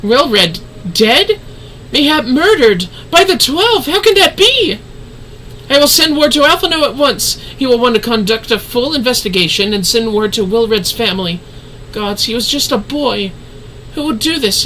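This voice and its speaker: A man's voice: extremely high pitch, lively intonation, unhurried, sharp tone, a little hiss or hum, pitched above the performer's own voice, heavily acted, rough recording. A man voicing a refined, earnest woman leader in a fantasy game, speaking in formal, solemn, archaic phrasing. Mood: shocked, grieving.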